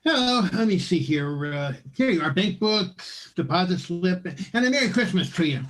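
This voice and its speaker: Man playing a lively, scatterbrained old uncle. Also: high voice, moderate pace. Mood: muddled. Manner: muddled, hesitant, muttering to himself. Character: scatterbrained, cheeky old uncle, bumbling and forgetful. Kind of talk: radio play acting.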